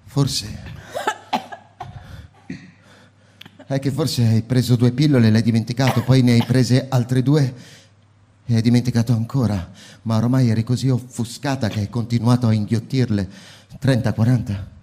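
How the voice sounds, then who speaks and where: Man playing a husky, troubled fireman narrator. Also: mid-range voice, fairly even intonation, normal speed, dark tone, natural voice. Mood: grave. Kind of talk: radio drama narration and dialogue.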